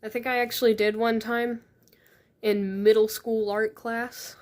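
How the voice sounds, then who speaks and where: A woman's voice: fairly high pitch, flat delivery, a little slow. Woman streamer, stuffed-up nose, casual chat, reads questions aloud, laughs easily. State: stuffed up, sniffly.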